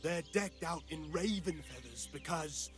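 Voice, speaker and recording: An earnest village tale-teller in a medieval game, his voice a bit high and faltering. Music is clearly heard behind him. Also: expressive, measured pace.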